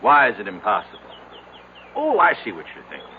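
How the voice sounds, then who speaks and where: An actor playing a wry, folksy country doctor in an old-time radio drama, teasing and unhurried in his homespun talk. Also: lowish voice, huge pitch swings, narrow, tinny audio. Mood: knowing, emphatic. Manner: teasing, drawn-out, homespun storytelling. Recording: noisy, band-limited vintage radio drama recording.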